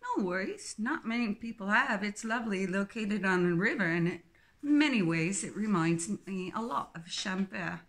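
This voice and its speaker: A woman reading a novel aloud, voicing a cheerful, chatty young English woman with an English accent who talks with excitement. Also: mid-range voice, normal speed. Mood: excited. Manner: bubbly and excitable.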